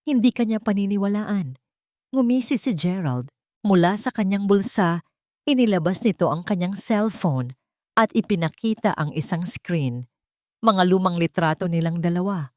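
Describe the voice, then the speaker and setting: A woman narrates a romantic drama from a script. Her voice sits slightly low, and the recording is dry and close, with storytelling phrasing throughout. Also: expressive, moderate pace, no room sound.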